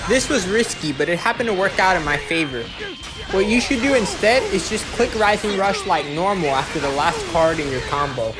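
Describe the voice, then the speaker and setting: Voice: medium pitch, lively intonation, fairly quick. A game guide narrator explaining tactics step by step in an instructional way. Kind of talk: gameplay guide commentary. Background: music.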